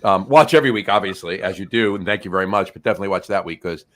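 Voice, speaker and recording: A man co-hosts a casual beer show. His voice sits low, and he talks in a joking, offhand way. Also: racing, a bit bright. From North Jersey, US. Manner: jokey, reads out viewer comments. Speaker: show co-host. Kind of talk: casual podcast chat.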